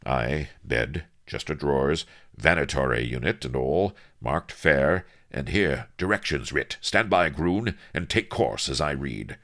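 Guy doing a posh-mannered pirate captain for an audiobook, really deep, pompous old sea lingo, lots of swoop. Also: animated, a little slow, a bit bright, heavily acted. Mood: commanding. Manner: archaic, pompous seafaring diction. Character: gruff, prim gentleman pirate captain with archaic speech.

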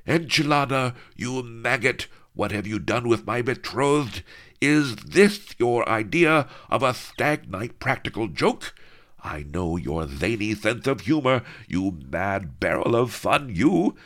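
A man's voice: mid-range voice, expressive, slow, pitched above the performer's own voice. Don Lardo, a man doing a bellowing armored brute. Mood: raging. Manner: bellowing, loud. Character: huge blustering armored nobleman with a violent temper.

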